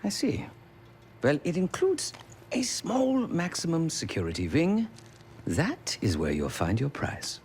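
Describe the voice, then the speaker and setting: An actor playing an East German defector, a former enforcer turned tech expert, with a German accent and a measured, drily polite delivery. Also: male voice, a little high, big pitch swings, darkish tone, a little hiss or hum. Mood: dry, courteous. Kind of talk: video game cutscene acting.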